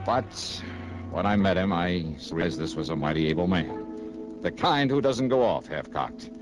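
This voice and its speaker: Low-voiced, hardboiled 1950s radio investigator. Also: male voice, lively intonation, a little slow, over music. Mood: wry narration. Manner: hardboiled, wisecracking, emphatic. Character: tough, stubborn, hot-headed male insurance investigator narrating his case. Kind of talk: 1950s radio drama acting.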